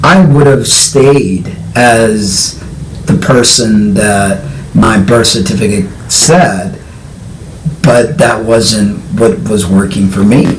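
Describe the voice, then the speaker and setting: A man with a lowish voice talks frankly and with emphasis in a personal conversation. The room echoes around him, and his delivery stays direct and sure. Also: slight background noise. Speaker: co-host.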